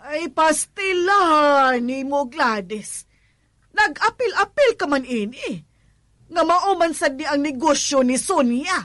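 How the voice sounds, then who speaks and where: A slow, chiding Filipino mother. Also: high voice, expressive, a bit bright, no room sound, full character voice. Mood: disapproving. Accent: Cebuano Filipino. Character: skeptical, scolding mother-in-law of a struggling couple. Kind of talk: Cebuano radio drama acting.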